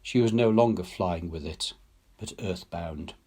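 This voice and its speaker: A man reading literary fiction aloud as a storyteller, in a measured, solemn manner, phrase by phrase. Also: sits low, mellow, breathy edge. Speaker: story reader, teacher.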